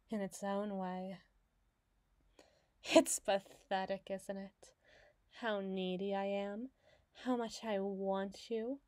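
A woman's voice: mid-range voice, darkish tone, no echo, clean studio sound, natural voice. A woman voicing an obsessive, masochistic lovesick girl in a theatrical audio roleplay, needy and taunting. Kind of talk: dramatic ASMR roleplay monologue.

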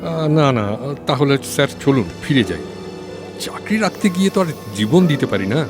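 A man's voice: mid-range voice, sing-song, brisk, over music, lightly acted. A man playing an anxious, servile office peon in an audio story, hesitant and eager to agree with his boss. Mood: fearful, pleading. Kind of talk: Bengali audio story dramatization.

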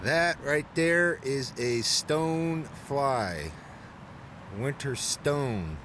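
Man vlogging while fly fishing, windy. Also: mid-range voice. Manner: relaxed, self-deprecating, talking while fishing. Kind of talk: casual outdoor vlog commentary. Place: outdoors, wading in a river in early spring. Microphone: handheld camcorder mic. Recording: wind noise on a camcorder mic outdoors. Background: wind and rushing water.

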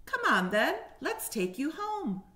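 Excited storybook child played by a woman: high, squealing, tender, echoey room. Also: slow, exaggerated voice. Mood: delighted. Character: delighted child finding a lost pet hamster.

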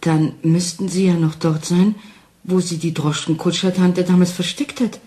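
An actress playing an anxious, fragile married woman in a German crime radio play, speaking in a tense, halting, breathless way. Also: on the low side, steady tone, mellow, husky. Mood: anxious questioning.

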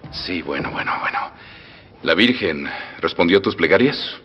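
An actor with a Mexican accent dubbing a middle-aged revolutionary general who teases, threatens and bursts into loud laughs. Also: male voice, sits low, lively intonation, normal speed. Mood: jovial. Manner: teasing, swings from soft menace to loud outbursts. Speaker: dubbed film character. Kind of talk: film dubbing. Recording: dull film soundtrack with long reverb and faint music.

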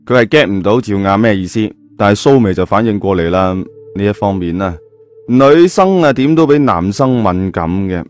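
A man's voice: fairly low pitch, expressive, moderate pace, faint music behind. A man with a Cantonese accent telling a story in colloquial, dramatic style, with chatty rhetorical asides.

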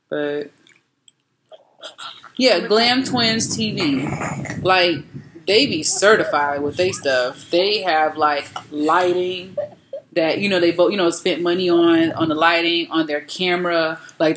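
A woman's voice: low-pitched, slight background noise. Chatty woman on a webcam. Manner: chatty, rambling, lots of fillers. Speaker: vlogger and boutique owner. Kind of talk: casual home vlog chat. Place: living room at home, indoors. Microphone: laptop webcam at close range.

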